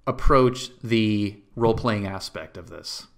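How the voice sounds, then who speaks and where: A man's voice: on the low side, slow pace. A man hosting a podcast in a casual conversational interview style, often rephrasing his questions mid-sentence. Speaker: podcast host.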